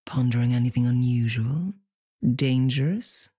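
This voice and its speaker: Very low, husky villainess. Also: female voice, warm tone, dry recording, studio quality, heavily acted. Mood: sly, probing. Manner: measured, insinuating. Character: sinister, probing, coolly manipulative female villain.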